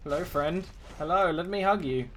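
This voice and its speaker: A man's voice: fairly high pitch, normal speed, fairly bright tone, slight background noise, low-quality recording. A young man streaming his first run of a game, chatting casually and joking at his own expense. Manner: playful, jokey, self-mocking. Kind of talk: casual gameplay commentary.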